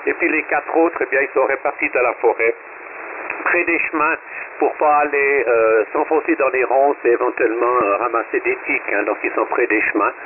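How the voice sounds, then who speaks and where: A man's voice: medium pitch, normal speed. A Swiss French man, a radio amateur, chatting casually on the air and tagging his sentences with "hein". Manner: chatty, many "hein" tags. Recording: tinny shortwave radio with hiss.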